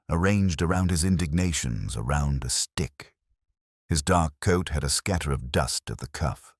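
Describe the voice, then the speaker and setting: A man narrates a mystery novel in an extremely deep voice. His delivery is wry and literary, with lively pitch movement and a very dry, close sound. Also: no echo.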